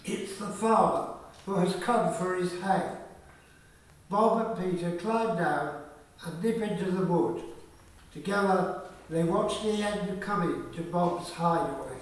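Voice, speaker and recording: A man narrating a scripted radio play in a measured storytelling manner. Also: high-pitched. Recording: very echoey room, far from mic.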